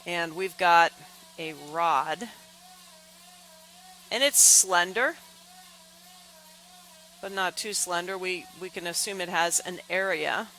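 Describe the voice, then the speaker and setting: A woman explaining as an engineering instructor, step by step, in deliberate, halting phrases with repeated words. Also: fairly low pitch, sharp tone, slight background noise, rough recording.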